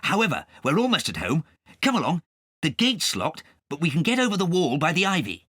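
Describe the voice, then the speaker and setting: Man voicing excitable cat companion, pitched up. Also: a little fast, no room sound, clean studio sound. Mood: urgent.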